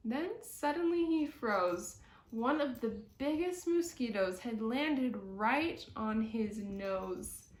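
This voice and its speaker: A high-pitched woman narrates a children's story. She takes it slowly, with deliberate dramatic phrasing, in a room with noticeable echo. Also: lively intonation. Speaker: storyteller reading a picture book aloud. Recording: roomy echo.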